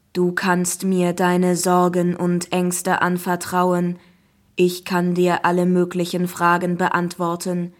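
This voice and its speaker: A woman voicing a friendly, coaxing AI app character in a children's audiobook, speaking in a slow, measured way. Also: on the low side, a little mellow. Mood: friendly, inviting. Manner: slow, measured, deliberate delivery. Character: friendly, smooth-talking AI app voice, inviting and coaxing.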